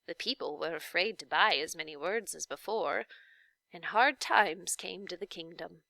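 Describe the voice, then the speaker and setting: A woman voicing a kindly, dignified old witch in a children's storybook, gentle, deliberate and formal in manner. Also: fairly low pitch, gravelly, no echo, pitched below the performer's own voice. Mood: wistful, measured. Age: older. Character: kindly, dignified old lady, a not-so-wicked official witch. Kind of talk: children's storybook read-aloud.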